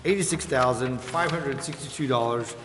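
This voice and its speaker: A man's voice: medium pitch, normal speed, fairly bright tone. Businesslike man chairing in an echoey room. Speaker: mayor chairing the meeting. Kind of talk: formal meeting procedure. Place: indoor council chamber. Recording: echoey council chamber, clearly noisy.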